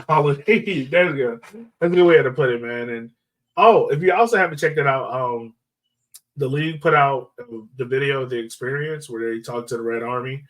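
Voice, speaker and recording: A man's voice: medium pitch, a little fast, fairly bright tone. A man hosting a sports podcast in casual, chatty, slangy talk. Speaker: podcast host. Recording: voice slightly far from mic.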